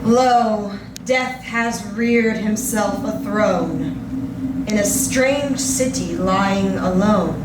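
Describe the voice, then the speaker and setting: A woman giving a solemn, measured, theatrical reading of dramatic poetry. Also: mid-range voice, very noisy. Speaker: performer of poetry. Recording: roomy distant recording under loud music.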